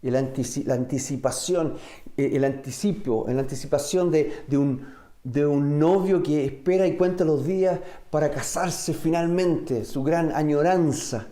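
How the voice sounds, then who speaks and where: Slow-paced American-born preacher man in echoey room. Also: on the high side, mellow. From United States. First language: English. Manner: deliberate, pausing, searches for words. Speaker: pastor. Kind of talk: sermon. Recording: roomy, echoing sound.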